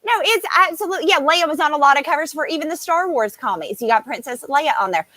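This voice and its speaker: High, bright-voiced woman, emphatic and sweary. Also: animated, fast. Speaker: podcast co-host. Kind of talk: casual opinionated panel banter.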